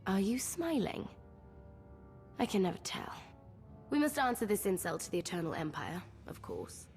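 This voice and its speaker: A woman's voice: mid-range voice, big pitch swings, moderate pace, a little mellow, close to an everyday voice. A woman playing an unhinged young woman of the imperial family who talks in a sing-song, cruelly playful way. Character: unhinged, gleeful young empress sister. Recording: distant voice over game music.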